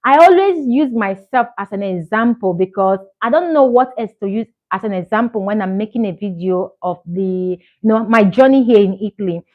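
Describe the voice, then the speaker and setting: A woman's voice: mid-range voice, expressive, normal speed, hardly any pauses, phone-quality sound. A Nigerian woman in her thirties chatting earnestly to camera as a vlogger, encouraging and repeating herself for emphasis. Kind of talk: casual chat to camera.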